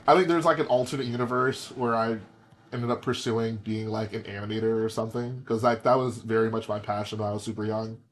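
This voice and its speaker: A man's voice: mid-range voice, a little hiss or hum. Laid-back young man chatting on stream. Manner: relaxed, rambling with many "like" fillers. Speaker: livestream host. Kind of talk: casual chat while building Lego sets.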